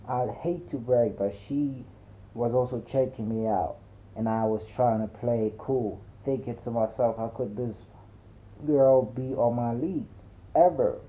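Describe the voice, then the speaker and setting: Phone-like recording of a deep-voiced male narrator. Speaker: audiobook narrator. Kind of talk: dramatic first-person audiobook narration with slangy conversational delivery. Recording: muffled narrow phone-like audio.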